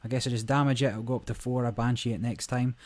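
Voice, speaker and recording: Man, slightly croaky, relaxed gameplay host, rambling, mid pitch. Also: fast talker. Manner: relaxed, rambling, many fillers. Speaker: gaming channel host. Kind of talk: casual gameplay commentary.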